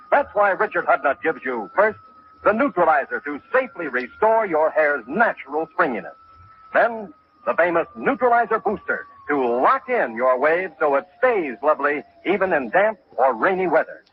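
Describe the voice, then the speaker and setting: A man's voice: a little high, lively intonation, moderate pace, a little hiss or hum. A man announcing from a script in mid-century radio style, formal and emphatic, on a thin old broadcast recording. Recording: thin, narrow-band vintage radio recording.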